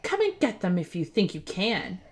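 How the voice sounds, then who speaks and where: A woman's voice: on the low side, huge pitch swings, normal speed. A woman voicing a sulky, defiant young girl villain in a children's storybook, petulant and taunting. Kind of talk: children's storybook character reading.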